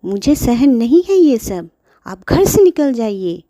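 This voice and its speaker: A woman's voice: a little high, very animated, measured pace, no echo. A woman voicing a cold, bossy daughter-in-law ordering her mother-in-law out. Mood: harsh. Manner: sharp, commanding.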